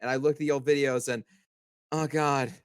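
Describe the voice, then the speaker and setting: A young man chatting casually on a podcast, talking haltingly and repeating his words as he goes. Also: medium pitch, steady tone, smooth, no echo, studio quality. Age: young adult. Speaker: podcast member, amateur voice actor. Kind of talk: casual chat.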